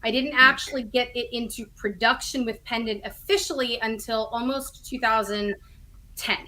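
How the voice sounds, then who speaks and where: A woman's voice: on the high side, fairly even intonation, normal speed, sharp tone, poor audio. A chatty woman in casual interview conversation as a guest writer and producer, often correcting herself as she explains. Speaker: audio drama writer and producer, podcast guest. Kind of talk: animated casual interview chat.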